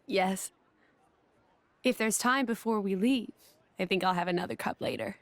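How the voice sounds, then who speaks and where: An actress playing a proper young woman who is quick to take offence, in a video game cutscene. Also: medium pitch, dry recording, close to an everyday voice. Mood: gentle, curious.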